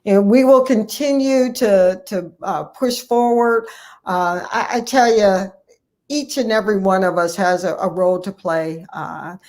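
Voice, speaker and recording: Middle-aged woman, mid-pitched, deliberate and encouraging. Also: darkish tone. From United States, Ohio. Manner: halting, deliberate, repeating phrases for emphasis. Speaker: guest, family physician and academy president-elect. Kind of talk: conversational interview, encouraging address to colleagues.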